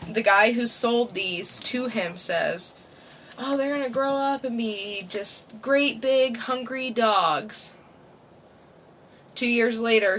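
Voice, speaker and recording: A woman co-hosting a casual podcast, chatting in a relaxed, self-mocking way. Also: medium pitch, slight background noise. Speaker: podcast co-host.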